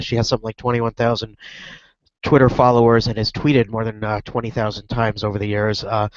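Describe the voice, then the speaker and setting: A man's voice: medium pitch, no room sound, lo-fi sound. A man with a cold-stuffed voice, a reporter rambling through a casual web broadcast chat with many restarts and fillers. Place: airport lounge.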